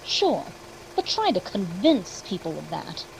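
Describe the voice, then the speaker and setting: An actress playing a breezy, persuasive property agent in a radio drama, with a folksy, reassuring sales manner. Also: female voice, big pitch swings, measured pace, gravelly, full character voice, low-quality recording. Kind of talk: old-time radio acting. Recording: faint engine rumble behind the voice.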